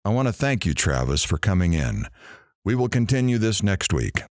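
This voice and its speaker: An actor playing a flippant, nosy radio talk show host with a theatrical, teasing delivery. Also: male voice, low voice, sing-song, normal speed, dry recording, clean studio sound. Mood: showy. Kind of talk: radio host patter in an audio drama.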